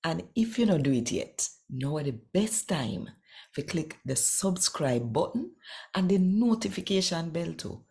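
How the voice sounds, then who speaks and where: Low-voiced, unhurried Jamaican woman reading. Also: big pitch swings, darkish tone. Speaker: host and scripture reader. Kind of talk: devotional channel talk and scripture reading in Jamaican Patois.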